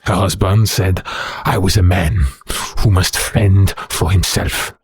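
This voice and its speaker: An actor playing a bitter, haunted werewolf prisoner in an audio drama, speaking in a slow, brooding, halting way with a rough voice. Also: male voice, sits low, steady tone, no echo, exaggerated voice. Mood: haunted sorrow. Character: bitter haunted male werewolf prisoner recounting his past.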